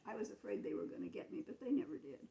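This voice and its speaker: Creaky elderly American woman. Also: deep voice, huge pitch swings, rapid-fire, crowd noise. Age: 80s. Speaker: grandmother recalling her childhood. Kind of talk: casual storytelling to family.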